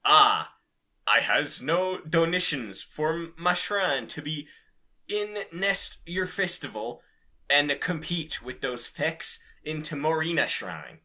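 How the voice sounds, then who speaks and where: A podcast co-host with a bright, forceful voice and slow delivery reads amateur fiction aloud and trades casual banter. The speech is profane and giggly, often stumbling, with very lively swings in pitch. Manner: profane, giggly, stumbles through deliberately misspelled text. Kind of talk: casual comic banter and amateur fanfic reading.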